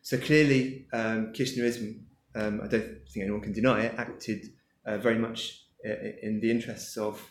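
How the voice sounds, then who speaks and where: A man's voice: fairly low pitch, flat delivery, normal speed, hardly any pauses, a little hiss or hum. A man, the author at his book launch, answering audience questions hesitantly with many fillers as he thinks aloud. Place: lecture room with an audience. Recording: echoey room.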